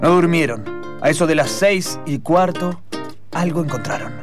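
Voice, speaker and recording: A man's voice: fairly high pitch, expressive, normal speed, music in the background. A man with an Argentine accent narrating improvised radio theatre.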